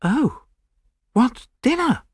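A man voicing an earnest, impatient British gentleman, a detective's companion, with an urgent manner in an audiobook. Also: mid-range voice, normal speed, husky, no echo, clean studio sound, pitched above the performer's own voice, heavily acted. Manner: excitable, urgent.

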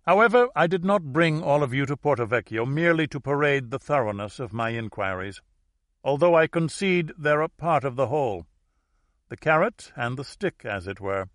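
A commanding, cultured Dutch heir acted out by a male audiobook reader, formal and self-assured. His middle-pitched voice moves deliberately through each phrase. The recording is very dry, with no room around it. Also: animated, a bit bright, no echo, lightly acted. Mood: smooth, imperious.

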